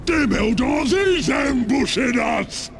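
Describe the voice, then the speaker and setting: A man playing an excitable ork grunt in a video game, yelling crudely in a gravelly voice. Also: very high-pitched, slow, heavily acted. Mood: alarmed. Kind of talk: video game cutscene acting. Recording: loud music under the voice. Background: loud music.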